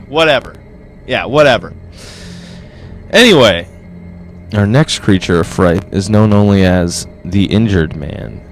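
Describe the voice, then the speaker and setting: A man plays a low-voiced, boastful host of a comedy monster documentary. He sounds pompous and easily wounded, rambling awkwardly as he presents himself as an expert, with music underneath. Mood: defensive, irritated. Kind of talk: mock-documentary presenting with petulant asides.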